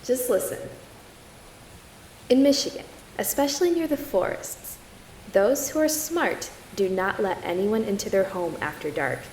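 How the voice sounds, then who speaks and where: A young woman acting as a sardonic, eerie campfire storyteller in a student audio drama, with dry, deliberate delivery. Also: mid-range voice, lively intonation, a little mellow, a little hiss or hum, natural voice, poor audio. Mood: ominous, measured. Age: young adult.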